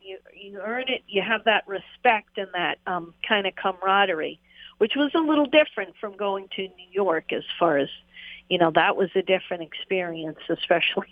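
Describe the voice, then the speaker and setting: A woman's voice: mid-range voice, normal speed, no room sound. A woman and former jockey, telling stories in a rambling, casual interview with frequent laughs and fillers. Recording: tinny phone line.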